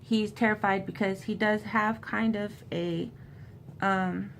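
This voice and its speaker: A woman's voice: medium pitch, fairly even intonation, takes it slowly, fairly bright tone, slight background noise. A woman in an informal, conversational style, recapping a book and reading passages from it aloud. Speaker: host recapping a horror novel.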